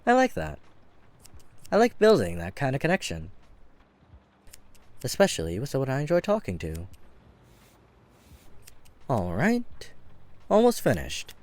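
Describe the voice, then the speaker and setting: Man acting a flirty catboy hairdresser, swooping intonation. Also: mid-range voice. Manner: gentle, bashful, earnest, chuckling at himself. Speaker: voice actor. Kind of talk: flirty ASMR-style roleplay audio drama. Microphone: close studio mic.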